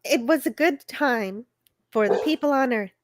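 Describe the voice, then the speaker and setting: A woman co-hosts a livestream, both chatting and reading dramatically. Her voice sits a little higher than most women's. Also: expressive, moderate pace. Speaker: co-host and reader.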